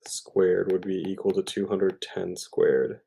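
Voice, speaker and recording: Low, gravelly male math tutor. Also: level tone, takes it slowly, warm tone, a little hiss or hum. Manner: informal, thinking aloud with hesitations and self-corrections. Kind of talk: step-by-step problem walkthrough.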